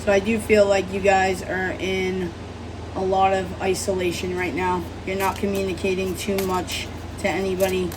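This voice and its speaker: A woman with a rough, hoarse voice from being sick, giving a casual, rambling tarot reading as host of her channel. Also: medium pitch, little pitch movement, moderate pace, far from the mic, noisy background. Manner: rambling, apologetic, many fillers. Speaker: tarot reader.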